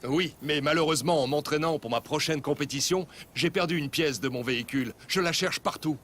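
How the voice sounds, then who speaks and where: A man's voice: on the high side, brisk, a bit bright, slight background noise, close to an everyday voice. A man voicing a friendly racing driver in a children's cartoon, cheerful and explanatory in his delivery. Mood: explaining. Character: friendly adult race car pilot. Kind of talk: dubbed children's cartoon acting.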